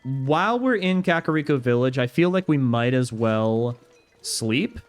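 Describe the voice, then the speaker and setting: Animated, unhurried young man streaming. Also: medium pitch, faint music behind. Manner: chatty, reads chat aloud, laughs easily. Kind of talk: casual gameplay commentary.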